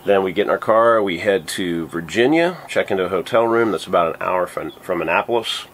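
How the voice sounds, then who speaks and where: A man in his forties with a low, deep voice, talking casually and relaxed aboard a sailboat. He speaks close to a handheld camera, with a faint hiss of noise behind him. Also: fairly quick. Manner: relaxed, explaining plans. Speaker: sailing vlogger. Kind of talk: casual vlog talk. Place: sailboat cabin and cockpit.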